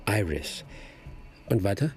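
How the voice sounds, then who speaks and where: Firm, probing police detective. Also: male voice, on the low side, big pitch swings, takes it slowly, soft background music. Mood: firm, matter-of-fact. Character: persistent, composed male police detective. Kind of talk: radio crime drama acting.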